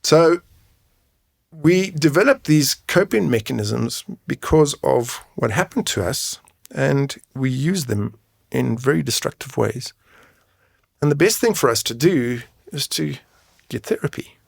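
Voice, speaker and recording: A man's voice: mid-range voice, lively intonation, measured pace, vocal fry, no room sound, clean studio sound. A man explaining things frankly and conversationally as an interview guest, often checking his points with a tag question. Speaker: guest, men's mental health coach and advocate.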